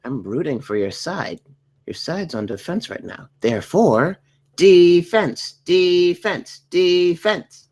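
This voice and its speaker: A performer voicing a loud, dim, unruly defendant in a comedy script table read, brash and argumentative. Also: medium pitch, animated, slow. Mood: rowdy.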